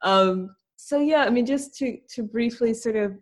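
A woman's voice: fairly high pitch, moderate pace. A young adult woman hosting a podcast, talking in a reflective, thoughtful way and laughing easily. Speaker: podcast host. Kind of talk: casual conversational interview.

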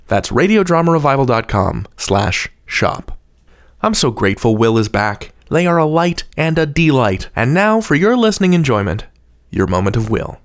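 A man hosting a podcast, reading a scripted outro and credits with playful asides. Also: mid-range voice. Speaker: podcast host.

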